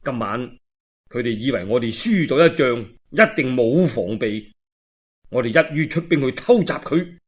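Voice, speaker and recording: A male storyteller with a Cantonese accent playing a practical, confident army officer in a measured, persuasive way. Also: medium pitch, expressive, pitched below the performer's own voice, natural voice. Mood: confident, scheming.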